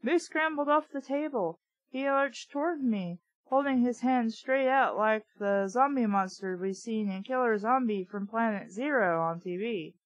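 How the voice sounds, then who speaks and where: A woman's voice: on the high side, a little slow, no echo, muffled recording. A woman reading a story aloud, breaking in with blunt, sarcastic, casual asides. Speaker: audiobook reader and commentator.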